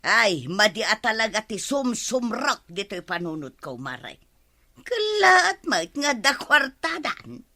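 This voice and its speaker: A woman's voice: a little high, very animated, slow, pushed and bright, no echo, full character voice. A woman with an Ilocano accent playing a suspicious, complaining village neighbour in a radio comedy drama. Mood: suspicious, grumbling.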